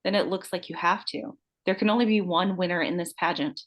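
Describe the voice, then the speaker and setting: A woman's voice: lowish voice, steady tone, brisk, natural voice. A vain, vengeful pageant killer, acted by a woman at a script table read. She gloats and taunts like someone unhinged, swearing freely. The delivery is theatrical horror-drama. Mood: menacing taunts. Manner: taunting, profane, theatrical outbursts. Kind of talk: live screenplay table read.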